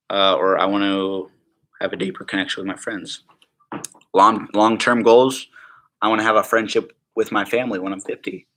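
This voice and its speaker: A young man with a lowish but bright, forward voice, teaching a prayer-school class in a loose, conversational way. He rambles a little, with many "okay"s and "right?"s. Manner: conversational, rambling, laughs softly, asks the room "right?". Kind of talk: informal teaching talk.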